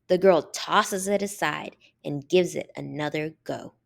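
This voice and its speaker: A woman reading a children's picture book aloud in an expressive, deliberate manner with drawn-out emphasis on key words. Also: deep voice, vocal fry. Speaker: storybook reader.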